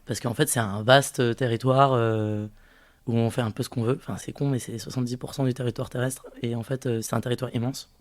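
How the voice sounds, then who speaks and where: A man in his twenties, a podcast guest who was born in French Guiana, talks through his answers with frequent pauses and restarts. His voice is mid-pitched and stays flat, with little rise or fall. The sound is dry and close, with no room echo. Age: 20s. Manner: hesitant, thinking aloud with many pauses. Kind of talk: casual interview testimony.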